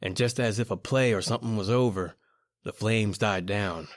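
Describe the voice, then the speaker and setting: A man's voice: on the low side, moderate pace, no echo, natural voice. A man acting a desperate young criminal who tells his own story in a horror radio play. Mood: tense narration.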